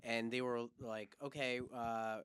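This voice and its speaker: A man's voice: medium pitch, slow, clear, resonant tone, no echo. A man hosting a podcast who tells anecdotes in a casual, storytelling way. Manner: storytelling, animated when retelling. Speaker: podcast host. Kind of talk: casual chat.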